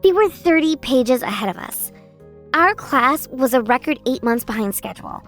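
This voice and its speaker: A woman narrating as an exasperated schoolgirl in a manga dub, lively and a bit sarcastic. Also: sits high, moderate pace, faint music behind. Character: exasperated schoolgirl narrating her class's story.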